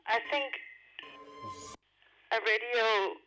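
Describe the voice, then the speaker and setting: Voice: mid-range voice, extremely slow, rough recording. A person chatting casually and improvising on a radio show. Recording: loud music under the voice. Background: music.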